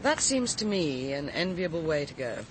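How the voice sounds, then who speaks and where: A woman's voice: sits low, very animated, moderate pace, noisy background. An actress playing a poised, sociable countrywoman in a radio drama, speaking politely and articulately with slight hesitations in a British accent. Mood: courteous. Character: poised, sociable, frank countrywoman, a writer and cook.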